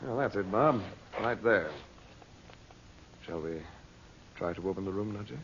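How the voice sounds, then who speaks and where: An actor playing a calm, commanding mystic adventurer, measured and authoritative, in old-time radio drama style. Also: male voice, fairly low pitch. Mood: purposeful. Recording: muffled, hissy old radio recording.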